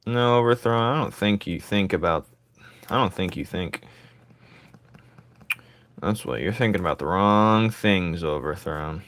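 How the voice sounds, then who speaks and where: A man with a raspy voice streaming his drawing, thinking aloud in casual chat and joking with viewers. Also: deep voice, a little slow, long pauses, fairly bright tone, no echo.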